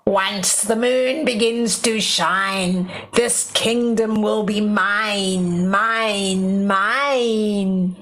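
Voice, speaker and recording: A woman voicing a wicked, power-hungry storybook witch who chants her curses in a drawn-out way. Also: medium pitch, steady tone, a bit bright, a little hiss or hum. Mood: gloating. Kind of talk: storybook read-aloud character.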